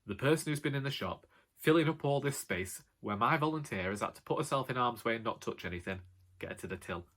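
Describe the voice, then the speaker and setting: A British man with a loud voice rambling casually to camera in a wry, sarcastic way, like a vlogger. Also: mid-range voice, expressive, fast talker. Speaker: vlogger and author.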